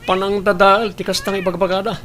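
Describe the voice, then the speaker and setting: A man's voice: sits high, flat delivery, brisk, a bit bright, slight background noise. A man with an Ilocano accent playing an evasive, defensive husband in an audio drama. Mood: defensive, indignant. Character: evasive, defensive husband and father hiding an affair.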